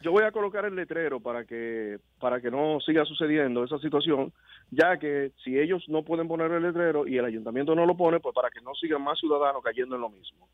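A man's voice: a little high, a little fast, no room sound. A man with a Dominican accent calling a radio show over a phone line, casually telling a story. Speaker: radio caller. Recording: thin, narrow phone line.

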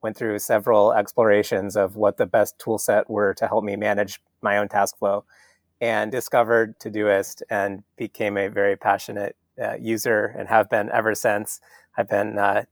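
A man's voice: fairly low pitch, vocal fry, dry recording. A man hosting a podcast who introduces his guest earnestly and speaks with open, heartfelt praise. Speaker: podcast host.

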